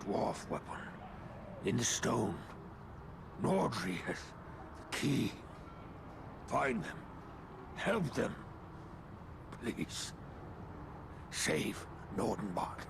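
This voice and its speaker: A man acting a dying dwarf warrior in a video game, weak and gasping, speaking in halting, broken phrases. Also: mid-range voice, big pitch swings, vocal fry, full character voice. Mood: weak, pleading. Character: dying, wounded dwarf warrior, defiant and pleading. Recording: loud noise behind the voice.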